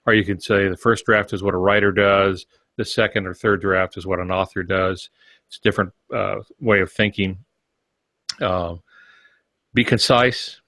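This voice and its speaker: A man's voice: low-pitched, steady tone, moderate pace, pushed and bright, no room sound. An older man co-hosting a podcast, running through practical tips in a conversational, instructive way. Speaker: podcast co-host. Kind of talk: conversational practical advice from notes.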